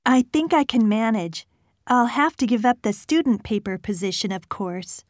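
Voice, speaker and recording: A woman voicing students, a professor and an office clerk in scripted exam dialogues, with a clear, polite delivery. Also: on the high side, expressive, moderate pace, dry recording, studio quality.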